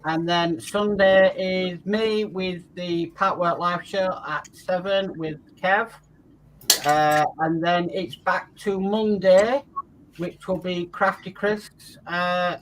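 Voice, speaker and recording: Bright high-voiced man hosting. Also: fairly even intonation, takes it slowly, a little hiss or hum, rough recording. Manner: reads viewer comments aloud, runs through the schedule. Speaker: host. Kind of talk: casual chat on a live stream.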